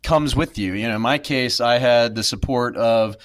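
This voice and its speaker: A man's voice: medium pitch, normal speed, no echo, clean studio sound. A man in his thirties, a podcast guest, speaking reflectively with many fillers and restarts. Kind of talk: casual interview.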